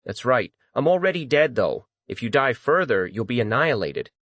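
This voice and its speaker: A man's voice: mid-range voice, big pitch swings, moderate pace, a bit bright, no echo. A man voicing an irritable female spirit in a dramatized audiobook, snappish and contemptuous. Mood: scornful.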